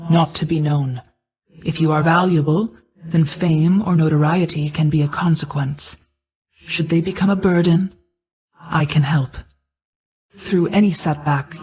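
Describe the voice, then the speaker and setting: A woman playing a calm, motherly goddess of night in a video game, speaking in a measured, formal and stately manner. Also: on the low side, close to an everyday voice, rough recording. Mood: stern, reserved, then solemn, gentle. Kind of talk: scripted video game character lines.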